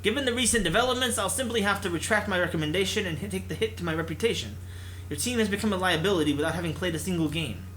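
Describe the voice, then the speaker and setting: A man voicing a stern, disappointed corporate executive who speaks in a formal, cutting, measured way. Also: high voice, a bit bright, slight background noise, rough recording. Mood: cold, disapproving.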